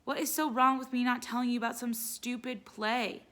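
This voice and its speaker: A woman's voice: a little high, flat delivery, moderate pace, fairly bright tone, pitched above the performer's own voice, close to an everyday voice. A woman voicing a moody, exasperated teenage girl in a storybook, screamy and defiant. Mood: angry, defiant.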